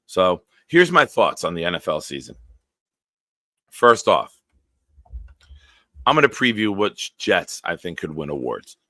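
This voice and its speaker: A man in his forties hosting a live-stream show, reading viewer comments aloud and bantering bluntly with a smooth, deep voice. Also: sing-song, a little slow, pauses often, bright, forceful tone, no room sound, clean studio sound. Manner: banters with chat, blunt and profane.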